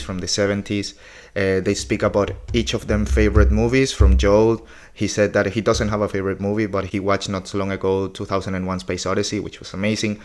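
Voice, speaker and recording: Guy in his 40s, kinda low voice, casual and blunt, swears a lot while he recaps stuff. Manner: blunt, opinionated, swears often. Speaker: podcast recap host.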